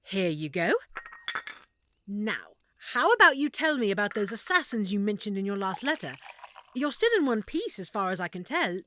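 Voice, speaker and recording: Woman acting an animated, affectionate half-elf shopkeeper. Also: medium pitch, normal speed, a little hiss or hum. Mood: curious, caring. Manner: expressive, warm, reacting with feeling. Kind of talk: roleplay audio drama, speaking to listener.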